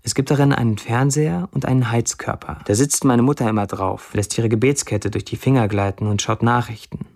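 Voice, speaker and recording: A man's voice: medium pitch, fairly even intonation, a little fast, gravelly, hardly any pauses, darkish tone. An actor playing a wry man of twenty-nine who reads his blunt first-person posts in a dry radio drama monologue. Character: wry 29-year-old Syrian man narrating his blunt online posts.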